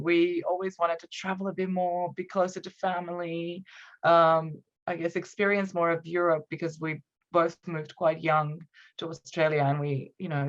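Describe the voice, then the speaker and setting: Guest, 30s woman, Romanian accent, low voice, reflective and halting, muffled call audio. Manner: reflective, hesitant, laughs often. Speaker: podcast guest, copywriter. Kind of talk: conversational interview.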